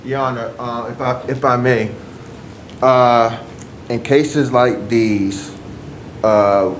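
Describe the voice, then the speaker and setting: A man speaks as a public defender, addressing the judge with hesitations and filler words. His voice has an ordinary pitch and carries noticeable echo from a roomy space, with faint noise behind it. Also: steady tone, slow pace. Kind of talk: courtroom argument.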